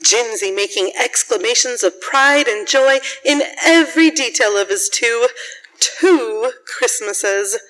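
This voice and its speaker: A woman narrating a scripted story for a radio drama in a measured storytelling manner. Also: on the high side, a bit bright, poor audio.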